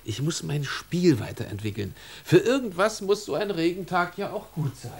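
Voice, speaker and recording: A busy father in a children's radio drama. His voice sits a little high. He talks naturally, like an everyday parent at home. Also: sing-song, moderate pace, breathy edge, exaggerated voice. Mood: puzzled, conciliatory.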